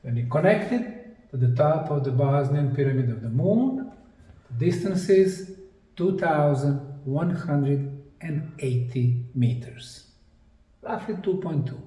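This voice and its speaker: Slow, mellow man presenting in an echoey room. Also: a little high, expressive. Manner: deliberate, short emphatic phrases with pauses. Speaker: presenter, anthropologist. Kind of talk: slide lecture presentation on sacred geometry. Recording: distant mic in an echoey room.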